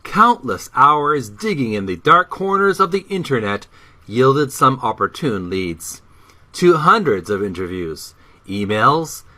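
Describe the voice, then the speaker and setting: Slow, bright-voiced man reading aloud. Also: on the high side. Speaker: host reading a book aloud. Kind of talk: read-aloud narration of a book introduction.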